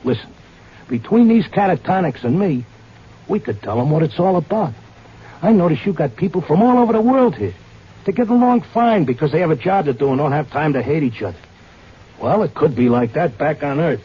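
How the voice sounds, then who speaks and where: An actor playing a stubborn, cynical, wisecracking husband in a radio drama, with slangy, blunt delivery. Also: male voice, fairly high pitch, lively intonation, brisk, natural voice. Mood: earnest, persuasive. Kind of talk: old-time radio drama acting with narration. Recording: muffled, noisy vintage radio recording.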